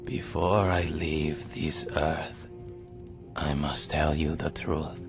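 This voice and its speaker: A man playing a dying, blind old father in a fantasy game film, speaking gravely and wearily to his son. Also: a bass voice, level tone, music in the background, heavily acted. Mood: solemn, weary. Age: older. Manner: grave, halting, weary. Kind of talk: dramatic game film monologue.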